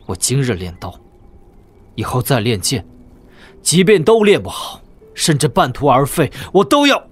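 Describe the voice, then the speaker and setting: A man playing a proud, bitter young lord turned swordsman in a wuxia audio drama, with a brooding, deliberate delivery. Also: medium pitch, very animated, breathy edge. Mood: resolute.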